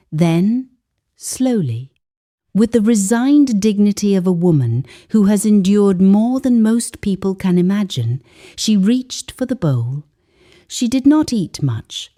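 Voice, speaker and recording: A woman narrating a scripted moral folktale in a solemn, deliberate way with dramatic pauses. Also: medium pitch, mellow. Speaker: storyteller.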